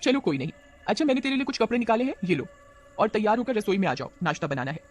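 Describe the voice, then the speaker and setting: A man voicing a bossy but kindly young housewife in a dramatized story, with a brisk, familiar manner. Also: high-pitched, very animated.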